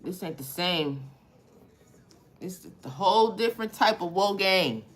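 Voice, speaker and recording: A woman with a Haitian accent and a low, deep-set voice talks through a live tarot spread. She questions each card out loud in a loose, profane, conversational way. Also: lively intonation, a little slow. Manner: casual and profane, questions the cards aloud. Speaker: tarot reader. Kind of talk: live tarot reading, thinking aloud.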